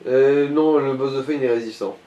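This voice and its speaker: A man's voice: medium pitch, brisk, warm tone, echoey room, highs cut off. A man giving casual game advice in an informal, crude-mouthed way. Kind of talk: casual gaming chat.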